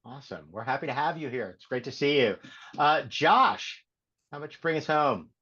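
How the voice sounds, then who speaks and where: A man's voice: medium pitch, expressive, normal speed, bright, forceful tone, breathy edge. A man hosting a livestreamed panel in a casual way over a video call. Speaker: panel host. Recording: dull, lo-fi call audio.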